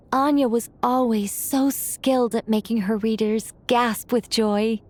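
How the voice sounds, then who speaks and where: A woman playing a serene, ancient angel storyteller in a video game, eloquent and measured, with a wry, gently teasing streak. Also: fairly high pitch, lightly acted. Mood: fond, reflective. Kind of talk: video game character acting, storybook narration.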